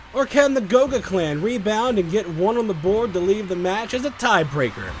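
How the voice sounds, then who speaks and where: Guy doing hyped tournament announcer, high voice, swoops up and down, theatrical. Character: excitable male tournament announcer. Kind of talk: theatrical fight commentary. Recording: voice over background music.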